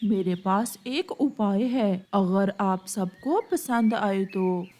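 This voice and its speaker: A woman's voice: medium pitch, slow, dark tone, lightly acted. A woman voicing a helpful, practical male pigeon in a cartoon, earnest and organizing. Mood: practical, concerned.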